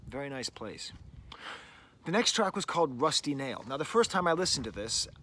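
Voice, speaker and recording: A man's voice: mid-range voice, sing-song, normal speed, bright, forceful tone. A middle-aged man hosting a casual album review, talking in a rambling, chatty way full of "like" and "you know", outdoors with birds nearby. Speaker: music reviewer, show host.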